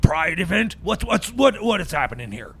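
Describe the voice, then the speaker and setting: A man acts out a paranoid, blustering mechanic in a radio comedy bit. His voice sits high and crackles with gravelly fry over a husky rasp. He stammers, repeats himself and rants as if under siege. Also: big pitch swings, moderate pace, pushed and bright, heavily acted. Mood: indignant. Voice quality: raspy, gravelly. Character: ranting paranoid holiday culture-warrior mechanic. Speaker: time machine mechanic character on a radio show. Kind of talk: improvised radio comedy skit.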